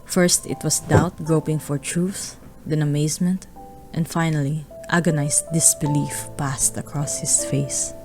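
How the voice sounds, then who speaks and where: A woman's voice: deep voice, steady tone, unhurried, darkish tone, soft background music. A woman narrating a radio drama, reading a scripted story.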